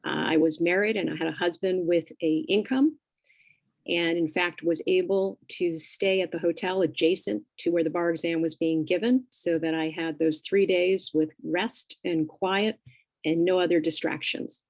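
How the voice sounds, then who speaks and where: A woman giving a prepared presentation at a committee meeting, speaking in a measured way with only a few "um"s. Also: lowish voice, fairly even intonation, darkish tone, rough recording. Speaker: presenter at a bar subcommittee meeting.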